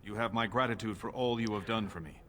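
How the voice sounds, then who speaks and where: A man's voice: on the low side, steady tone, fast, fairly bright tone, lightly acted. A man playing an imperious newly crowned dwarf king in a fantasy video game, speaking in a formal, commanding way. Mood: formal, satisfied.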